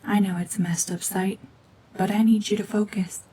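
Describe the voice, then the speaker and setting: A woman voicing a cold, mean renegade gorgon witch in a hushed, half-whispered ASMR drama, domineering and sardonic. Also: medium pitch, steady tone, normal speed, slightly rough, poor audio. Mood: cold menace.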